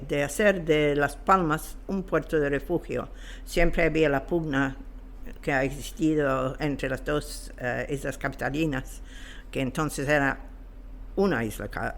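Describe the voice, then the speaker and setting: Man, interview guest, halting delivery, searching for words, gravelly creak, unhurried historical explanation. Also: fairly high pitch, fairly bright tone.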